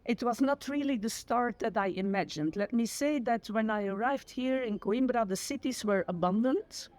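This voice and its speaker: A retired teacher in her sixties from Flanders, a Dutch native with a Flemish accent, giving an earnest personal account and often pausing to search for words. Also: a little high, darkish tone, no room sound. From Flanders, Belgium. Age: 60s. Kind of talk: personal testimony to camera.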